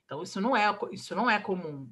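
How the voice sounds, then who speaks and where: A woman in her thirties with a Brazilian Portuguese accent, an interview guest talking about her child, emotional and halting, with many restarts. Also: medium pitch, expressive, a bit bright. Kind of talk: candid personal interview.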